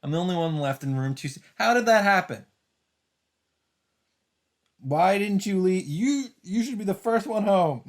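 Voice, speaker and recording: A man's voice: sits high, lively intonation, normal speed, pauses often. A man hosting a let's play, reading visual novel narration aloud and adding dramatic asides as he reacts.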